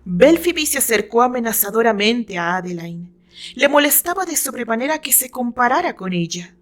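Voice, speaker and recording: A woman with a Mexican accent reading her own novel as a dramatic, deliberate, theatrical story narration. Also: on the high side, very animated.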